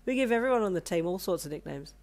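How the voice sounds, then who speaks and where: A woman's voice: lowish voice, expressive, very fast, a little mellow. A woman voicing a brash, teasing young male athlete. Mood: brisk.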